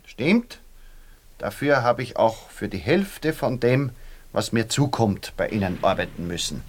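An actor playing a put-upon, quietly defiant Viennese employee in a radio play, dry and sardonic. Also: male voice, lowish voice, animated, a little slow. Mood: dry, pointed. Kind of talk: radio play acting in dialect.

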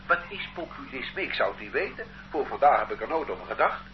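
A man's voice: medium pitch, big pitch swings, fast, lightly acted. Male actor as an everyday working man being questioned, bewildered and plain; old, muffled radio recording. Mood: defensive. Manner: bewildered, defensive, plain-spoken. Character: ordinary working-class man under arrest. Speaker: suspect. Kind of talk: radio drama acting. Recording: dull lo-fi old radio recording with faint noise.

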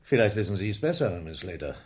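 An actor playing a gruff, sarcastic, domineering male police inspector in a German radio crime drama, speaking curtly. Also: on the low side, big pitch swings, quick pace, darkish tone, slight background noise. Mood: pressing, stern. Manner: curt, commanding, sardonic.

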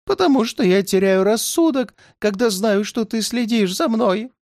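A man voicing a frightened, paranoid old man who pleads and wails in a high, cracking voice. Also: moderate pace, darkish tone, no room sound, clean studio sound. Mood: desperate. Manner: wailing, high pleading cries.

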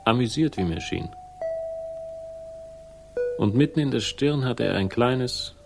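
An actor playing a laconic, hard-boiled investigator in a German radio crime drama, narrating and talking with deadpan irony. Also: male voice, mid-range voice, gravelly, over music. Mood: brooding. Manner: deadpan, ironic, unhurried.

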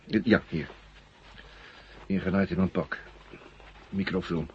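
An actor playing an earnest, uneasy astronaut under hypnosis in a radio play, speaking raspily with halting, repeated words and intensity. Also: male voice, low voice, fairly even intonation, slight background noise. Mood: guarded. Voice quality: husky, raspy. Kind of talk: 1970 Dutch radio play acting. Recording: dull, dry old radio recording.

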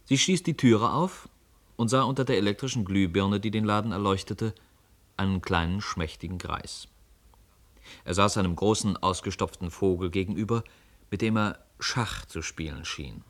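A man narrating a literary radio play as an expressive, measured storyteller. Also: fairly low pitch.

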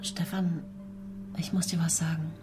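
Low-voiced secretive, brooding young wife. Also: female voice, flat delivery, a little slow. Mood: hesitant. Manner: murmuring, often half-whispered. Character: pregnant wife hiding an affair, secretly tense and brooding. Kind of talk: radio drama acting, murmured inner thoughts between dialogue. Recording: music behind the voice.

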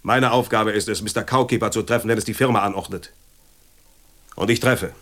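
A man's voice: on the low side, fairly quick, natural voice. An actor playing a blunt, threatening henchman of a secret firm in a German radio drama, curt and mocking.

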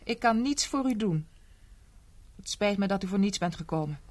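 An actress playing a defiant young stepdaughter in a 1970s radio drama, curt and dismissive. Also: female voice, medium pitch, animated, normal speed, darkish tone, natural voice. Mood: cold. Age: young adult.

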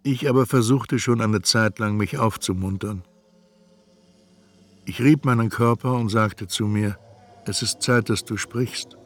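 An actor playing an anxious, brooding storyteller who talks to himself in a literary radio drama. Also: male voice, on the low side, unhurried. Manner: introspective, talking to himself. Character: anxious, brooding first-person male storyteller. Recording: music behind the voice.

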